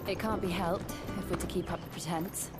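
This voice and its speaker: A woman's voice: lowish voice, steady tone, a little fast, over loud music, natural voice. An actress playing a composed, polite and determined young woman in a fantasy video game. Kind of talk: video game cutscene acting.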